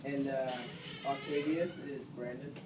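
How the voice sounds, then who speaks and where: A man reads scripted narration for a student puppet show at a middle pitch. He is some way from the microphone in an echoey room. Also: fairly even intonation, measured pace. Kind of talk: scripted narration, reading stage directions. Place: classroom, voice from behind a puppet stage. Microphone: far camera. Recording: echoey classroom, distant mic, clear noise.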